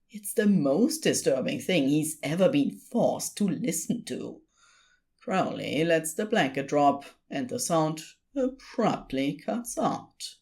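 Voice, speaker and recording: A woman reading scripted story narration in an expressive storytelling style. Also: low voice, gravelly.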